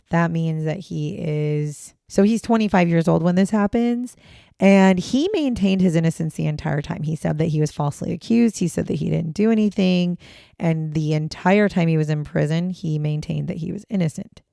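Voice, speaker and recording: A woman co-hosting a casual true-crime podcast, her voice hoarse and raspy today, talking in a chatty, blunt way with casual swearing. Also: lowish voice, normal speed, mellow, no room sound, clean studio sound. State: hoarse, raspy voice after choking on water. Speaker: podcast co-host.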